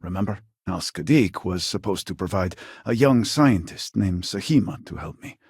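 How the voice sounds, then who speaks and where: An actor playing an earnest, exasperated scientist from a devout society in a sci-fi video game, speaking formally with a husky voice. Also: male voice, sits low, lively intonation, darkish tone, no room sound, clean studio sound, exaggerated voice. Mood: dry, stern. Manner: formal, measured, articulate. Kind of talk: video game character dialogue.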